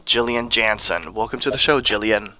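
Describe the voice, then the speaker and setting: A man's voice: on the low side, pushed and bright, low-quality recording. A man hosting an interview show, asking questions in an upbeat, enthusiastic way. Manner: enthusiastic, quick to say "fantastic" and "awesome". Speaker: interview host.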